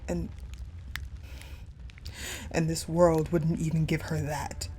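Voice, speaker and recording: A woman playing a wounded, vengeful ice-powered general in a dramatic audio roleplay monologue, halting and breathy, often slipping toward a whisper. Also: sits low, little pitch movement, mellow, slight background noise. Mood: bitter grief.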